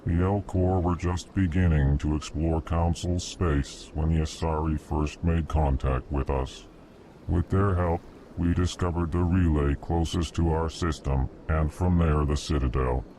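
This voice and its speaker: A man voicing a huge, courteous alien ambassador in a video game, speaking in a formal monotone and flatly naming each feeling before he speaks. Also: rumbling low, a little hiss or hum, low-quality recording. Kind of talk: video game character dialogue.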